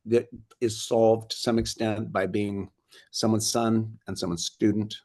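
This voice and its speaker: A man's voice: fairly low pitch, little pitch movement, measured pace, dark tone. An older American man, a film director, talking casually on a video call and often restarting his sentences. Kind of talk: casual interview chat.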